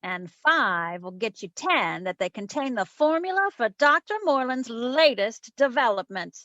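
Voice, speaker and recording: A woman's voice: a little high, very animated, normal speed, fairly bright tone, no room sound, highs cut off. A woman playing a wisecracking, self-assured female private eye in a wry, slangy 1940s radio detective style. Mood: wry recounting. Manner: wry, slangy, theatrical storytelling. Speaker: community theatre voice actor.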